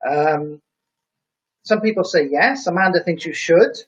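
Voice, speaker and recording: A man's voice: on the high side, measured pace. Chatty man with faint Welsh lilt. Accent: faint Welsh mixed with English. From Wales. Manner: chatty and jokey, quizzing viewers. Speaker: live stream host. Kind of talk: casual live-stream chat reading out viewer comments. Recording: dull lo-fi stream audio.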